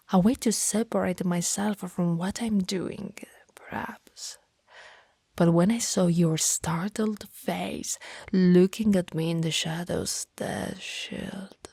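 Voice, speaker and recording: A woman playing a centuries-old vampire in an ASMR roleplay, formal and tender, speaking softly and breathily, often in a whisper. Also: on the low side, no echo, exaggerated voice. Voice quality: soft, breathy. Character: centuries-old female vampire, lovestruck, remorseful, hungry, formal. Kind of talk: ASMR roleplay, slow intimate monologue.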